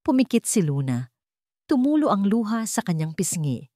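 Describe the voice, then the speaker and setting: A woman's voice: mid-range voice, big pitch swings, moderate pace, warm tone, no echo, studio quality. A woman narrating a scripted story in a dramatic style.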